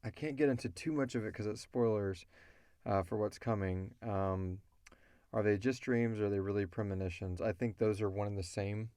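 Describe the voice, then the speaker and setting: A man's voice: lowish voice, fairly even intonation, normal speed, mellow, no echo, studio quality. A man hosting a podcast in casual conversation, explaining things in a rambling way as he answers listener questions.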